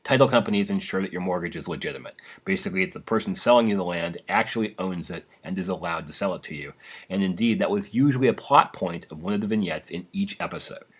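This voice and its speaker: A man's voice: low voice, fast. A man hosting an old-time radio podcast, narrating a scripted introduction in an explanatory way with casual asides.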